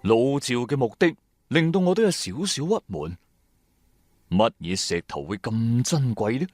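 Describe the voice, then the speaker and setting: A man's voice: mid-range voice, very animated, normal speed, darkish tone, no echo, clean studio sound, faint music behind. A man playing a shrewd, wry trader who narrates his own adventures in colloquial, sardonic radio-drama style. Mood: musing, storytelling. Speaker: narrator and lead character.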